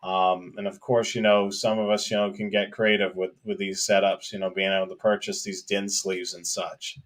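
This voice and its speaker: A man's voice: low-pitched, flat delivery, normal speed. Man co-hosting a casual hobby live stream. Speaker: co-host of a live hobby show about police scanners.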